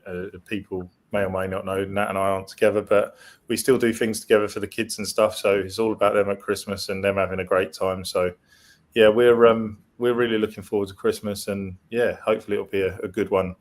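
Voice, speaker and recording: A man's voice: lowish voice, flat delivery, fairly quick. A man hosting a casual podcast, hesitant and friendly, asking his guests questions. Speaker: podcast host.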